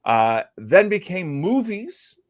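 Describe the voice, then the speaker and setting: An American man hosts a relaxed discussion in a halting, measured way, pausing partway through his sentences. His pitch is lively and varied. The sound is dry, with little room on it. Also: no echo. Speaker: host of an old-time radio discussion show. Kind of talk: casual hosting and discussion.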